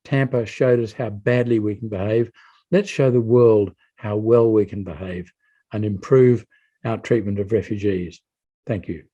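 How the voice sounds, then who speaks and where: A man's voice: on the low side, steady tone, a little slow, dark tone, slightly rough. A man giving an earnest, persuasive speech to a meeting. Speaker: panel speaker. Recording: dull lo-fi sound.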